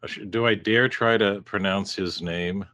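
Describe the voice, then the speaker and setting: Brief: relaxed male interviewer, low-pitched, dry close sound. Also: moderate pace, no echo. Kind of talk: casual interview questions.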